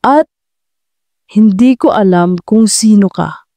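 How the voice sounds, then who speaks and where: A woman voicing a confused, gentle and earnest male romantic lead in an audio drama. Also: medium pitch, takes it slowly, dry recording. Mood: firm. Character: confused, gentle male CEO with lost memory.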